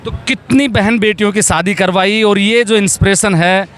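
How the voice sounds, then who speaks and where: A man's voice: high-pitched, moderate pace, a bit bright. A man working as a reporter, putting questions in a field interview.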